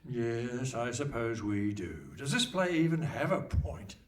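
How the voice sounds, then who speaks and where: A man's voice: mid-range voice, expressive, measured pace, lightly acted. A man playing a wry, bantering announcer in a comic radio play. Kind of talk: comic scripted narration before live audience. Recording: echoey hall, slightly distant mic.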